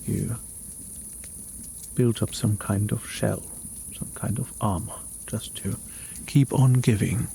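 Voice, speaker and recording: A man plays a kindly fantasy mage for ASMR, healing a weary traveler. His voice is mellow and a little gravelly, very unhurried and soothing, often sinking near a whisper. Faint cricket sounds of a forest night sit behind him. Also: lowish voice, steady tone, a little hiss or hum. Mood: soothing, concerned. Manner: soft-spoken, soothing, unhurried, often dropping toward a whisper.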